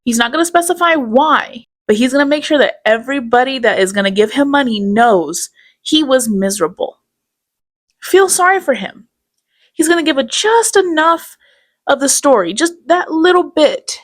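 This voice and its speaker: A woman comments on a streamer's clips with open sarcasm and scorn, swearing as she goes. Her voice sits a little high. She talks straight to her viewers. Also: lively intonation, normal speed. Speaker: commentary host. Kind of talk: reaction commentary.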